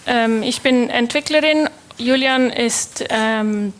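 Young Swiss woman, conference presenter; higher voice, slow, hesitant delivery with fillers. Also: a little hiss or hum. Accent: Swiss German. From Switzerland. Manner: hesitant, many filler sounds and restarts. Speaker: conference speaker, developer. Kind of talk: technical conference talk.

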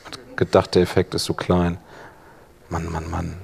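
A man leading a workshop, explaining informally and thinking aloud while he operates the software. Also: sits low, monotone, unhurried, gravelly, breathy edge. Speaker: workshop instructor.

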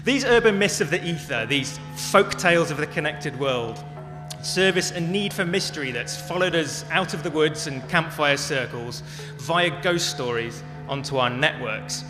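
A man giving a prepared public talk as a speaker, telling stories in a measured, dramatic way. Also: fairly high pitch, expressive. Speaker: conference speaker. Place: large hall, talk to an audience. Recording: echoey hall with music behind.

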